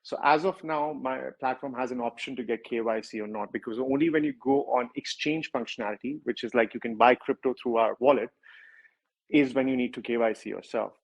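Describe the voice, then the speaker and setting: A man's voice: mid-range voice, fairly quick, dull audio. A man with a strong Indian accent, a project founder answering questions in a livestreamed interview, talking at length and often correcting himself. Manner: rambling, self-correcting, with many filler words.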